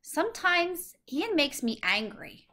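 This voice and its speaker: Bright-voiced woman reading a storybook. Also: extremely high pitch, sing-song, takes it slowly. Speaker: storybook reader. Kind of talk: scripted children's story narration, read aloud.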